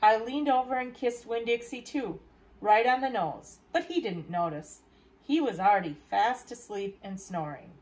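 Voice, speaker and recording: A woman's voice: on the high side, lively intonation, moderate pace, a little mellow, low-quality recording. A woman reading aloud as a library storyteller, narrating a children's novel. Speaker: library staff reader.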